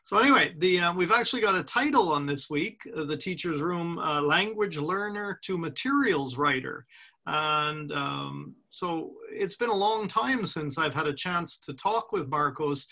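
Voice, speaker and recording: A man in his sixties hosts an interview over a webcam video call from a room at home. His voice is fairly high, gravelly and bright. He talks in a chatty, friendly way, with plenty of fillers. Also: animated, moderate pace. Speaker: host.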